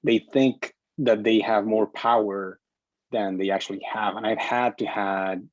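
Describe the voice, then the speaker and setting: A man with a fairly low voice, recorded dry and close. He talks in a casual group discussion as a filmmaker, stating opinions firmly while stumbling over and repeating words. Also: fairly even intonation, a little slow, dry recording, muffled recording.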